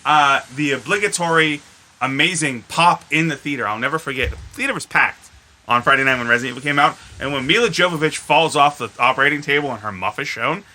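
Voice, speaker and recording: A man with a very bright, forceful voice that sits a little high. He co-hosts a casual podcast, telling animated, meandering stories and swearing freely. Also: quick pace. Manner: animated, profane, rambling storyteller. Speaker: podcast co-host. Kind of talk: casual chat.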